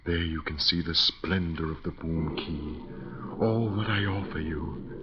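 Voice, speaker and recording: An actor playing an undying, lovelorn phantom suitor in an old radio horror play, courtly and portentous, pleading with obsessive menace. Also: male voice, low-pitched, unhurried. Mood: insistent, beckoning. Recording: muffled, noisy vintage radio recording.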